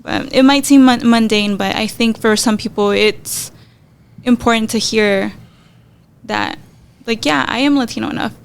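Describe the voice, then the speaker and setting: A woman's voice: fairly high pitch, normal speed, creaky, smooth. A woman from El Salvador co-hosting a podcast, chatting candidly with lots of "like". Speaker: podcast co-host. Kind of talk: casual podcast conversation.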